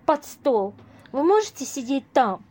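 A woman's voice: sits high, sing-song, measured pace, darkish tone. An actress in an amateur audio play as an exasperated but kind-hearted single woman, earnest and fretful.

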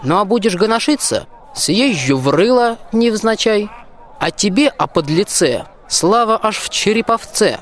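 A man's voice: sits high, animated, measured pace, a bit bright. A man in a radio play acting a bold, plain-spoken peasant soldier who scolds in folksy rhymed verse. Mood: threatening. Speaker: school student actor.

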